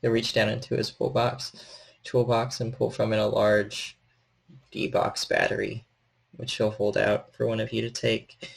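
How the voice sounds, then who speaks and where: Male storyteller, tabletop horror narration, gravelly fry, low pitch. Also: completely flat delivery, measured pace. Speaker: storyteller, game host.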